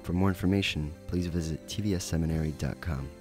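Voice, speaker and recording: A man with an extremely deep voice reads a scripted promo at a brisk clip. His delivery is smooth and announcer-like, and clear music plays underneath the whole time. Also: fairly even intonation.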